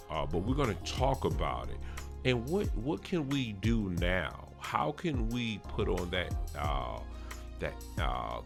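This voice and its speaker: Really low gravelly guy doing a podcast promo, kinda halting, talks right to the listeners, soft music behind. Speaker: podcast host. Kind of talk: informal promotional announcement for an upcoming show.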